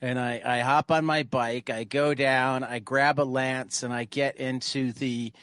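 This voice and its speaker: A man chatting casually as a player in a tabletop game, halting and repeating his words. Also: mid-range voice, steady tone, fairly bright tone, clean tone, no room sound.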